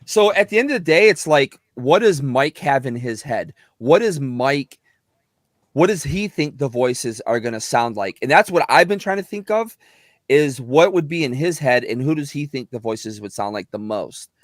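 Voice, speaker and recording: A man's voice: medium pitch, animated, normal speed, dry recording, studio quality. A middle-aged man with a slightly whiny voice, an actor chatting casually and rambling with many restarts. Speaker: actor and guest. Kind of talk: casual video chat. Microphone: close mic.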